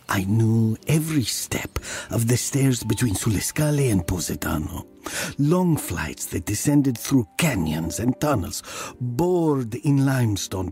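An actor playing a wistful ghostly man, telling of his village boyhood in measured audio drama narration. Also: medium pitch, creaky, dark tone. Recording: music under the voice. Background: music.

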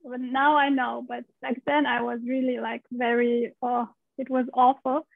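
A woman's voice: fairly high pitch, level tone, moderate pace, creaky, dry recording. A woman in her thirties from Romania, telling her story openly in a video-call interview from her living room. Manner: open storyteller, laughs often, gestures while talking. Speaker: guest, pelvic steam practitioner. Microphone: video call, laptop mic. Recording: dry, tinny video-call audio.

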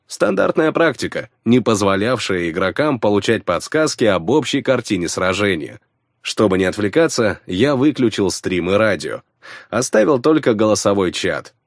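A man narrating fiction in the first person, scripted and expressive, on a close studio mic. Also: lowish voice, dry recording. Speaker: audiobook narrator. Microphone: close studio microphone.

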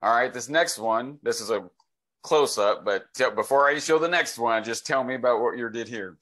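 A man's voice: medium pitch, moderate pace, fairly bright tone. A man in his forties hosting a casual interview over a video call, talking in an enthusiastic, conversational way. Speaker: host, real estate agent. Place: home office, video call. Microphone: computer mic on a video call.

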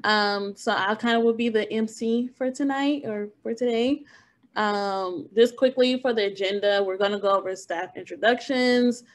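A young woman from Oakland, California, hosting an online info session in a relaxed, chatty way. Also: on the high side, moderate pace. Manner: relaxed, chatty, laughs easily. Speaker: academic preparation specialist, meeting host. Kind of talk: casual info session on a video call. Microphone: video call.

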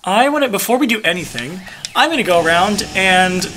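A young man from the Philadelphia suburbs, excitable and teasing, playing a game while chatting with his co-host. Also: sits high, a little fast. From Philadelphia suburbs, USA. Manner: excitable, interrupting, playful teasing. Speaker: let's play co-host and player. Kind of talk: casual chat over gameplay.

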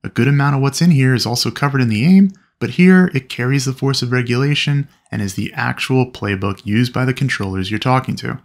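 A man's voice: medium pitch, a little fast, hardly any pauses. A man giving a scripted explainer narration in an instructional manner, as if teaching peers. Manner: instructional, addresses fellow pilots.

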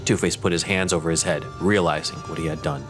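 A man giving scripted dramatic narration in a measured, solemn way. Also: low voice, fairly even intonation, music in the background.

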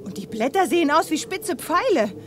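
An actress playing an anxious but spirited young space adventurer in a sci-fi radio play, with dramatic delivery. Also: female voice, sits high, lively intonation, fairly quick, background noise. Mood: uneasy. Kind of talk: German 1980s sci-fi radio play acting.

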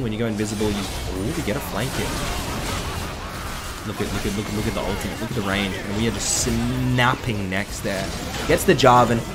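A young man with a slightly croaky voice, casually commenting on a game replay from his desk, chatty with excitable bursts. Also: on the low side. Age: young adult. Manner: chatty, excitable bursts over calm analysis. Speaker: gaming commentator. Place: indoor room at a desk. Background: background music.

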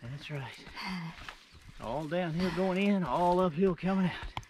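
A man's voice: high-pitched, unhurried, darkish tone. An older man chatting casually, a bit winded, as he hikes a trail. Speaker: hiker in a couple's travel vlog. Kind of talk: casual chat while hiking. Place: outdoors on a forest and ridge trail. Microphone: handheld camera, a few steps away. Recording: noisy handheld outdoor camera audio.

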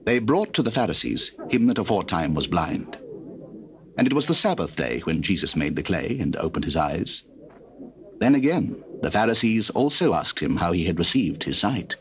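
A man's voice: low voice, big pitch swings, normal speed, a little hiss or hum. A man giving scripted scripture narration on an old cassette recording.

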